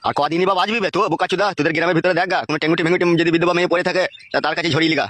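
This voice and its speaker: A man's voice: on the high side, fairly even intonation, racing, a bit bright, dry recording. A man voicing an impatient, foul-mouthed village bully in a comic cartoon, threatening and scolding. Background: background music.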